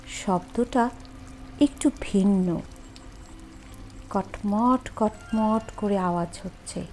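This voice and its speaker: Slow, suspenseful woman storyteller. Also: fairly high pitch, dark tone. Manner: suspenseful, drawn-out phrasing with dramatic pauses. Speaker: horror story narrator. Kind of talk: dramatic ghost story narration.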